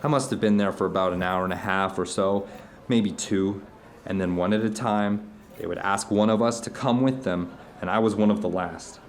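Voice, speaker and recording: A man's voice: on the low side, steady tone, moderate pace, lightly acted. An actor playing an earnest, fervent middle-aged man who tells rambling stories of strange experiences in an audio drama. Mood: hushed, suspenseful. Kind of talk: audio drama dinner-table monologue.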